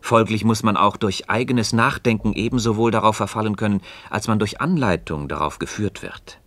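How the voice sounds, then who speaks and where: An actor playing a calm, cryptic Freemason in a radio dialogue, speaking formally and deliberately. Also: male voice, on the low side, lightly acted. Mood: earnest, assured. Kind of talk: German radio play dialogue.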